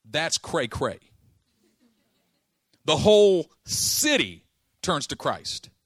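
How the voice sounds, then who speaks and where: A man preaching a sermon, telling a story with lively acted-out dialogue and casual slang. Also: fairly high pitch, extremely slow, no echo, studio quality. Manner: animated storytelling, acting out dialogue.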